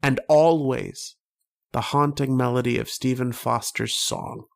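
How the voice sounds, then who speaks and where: A man playing a haunted narrator who recalls an obsessive dream, in solemn, literary, measured radio-drama delivery. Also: mid-range voice, fairly even intonation, gravelly. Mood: haunted, wistful.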